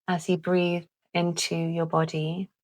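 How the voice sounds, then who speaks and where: A woman leading a calming guided visualisation in a gentle, deliberate manner with long pauses. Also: fairly low pitch, low-quality recording. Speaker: guided meditation host.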